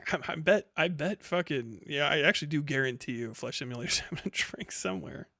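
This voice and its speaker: Guy streamer riffing w chat, kinda higher voice, blunt n sweary, super dry sound. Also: lively intonation, brisk, creaky, bright, forceful tone, dry recording. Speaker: live streamer. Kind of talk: casual livestream commentary, reading chat.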